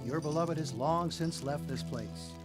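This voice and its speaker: A man's voice: fairly high pitch, unhurried, natural voice. A man playing a creepy, gleeful villain's sidekick in an audio drama, taunting in mock-genteel endearments. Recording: loud music behind the voice.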